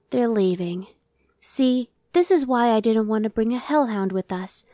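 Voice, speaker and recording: A woman's voice: a little high, takes it slowly, warm tone, dry recording, studio quality. A woman voicing an impatient, sardonic female demon scout in an ASMR roleplay, talking in a conversational, dismissive way. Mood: tense, annoyed. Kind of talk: ASMR roleplay, one-sided dialogue.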